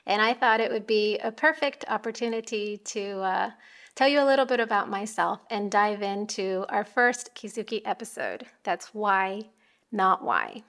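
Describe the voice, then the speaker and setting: A middle-aged woman from Japan with an American accent, hosting a podcast from home and telling her personal story in a soft, reflective way. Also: mid-range voice. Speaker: podcast host.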